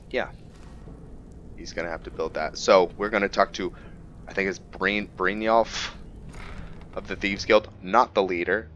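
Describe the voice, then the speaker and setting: A man's voice: sits low, bright, forceful tone, slight background noise. A man hosting a casual let's play, commenting on his roleplay as he goes. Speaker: gaming host. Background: game music.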